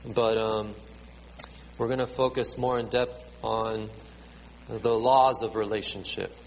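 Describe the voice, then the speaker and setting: A man in his late thirties preaching a sermon to a congregation with children, halting and repeating words, with many ums. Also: on the low side, fairly even intonation. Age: 30s. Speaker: pastor. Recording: reverberant hall, faint ambient noise.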